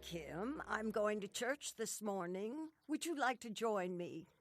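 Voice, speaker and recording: A woman playing a gentle old grandmother in audio drama dialogue. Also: medium pitch, lively intonation, takes it slowly, no room sound, soft background music. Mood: gentle, hopeful. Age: older.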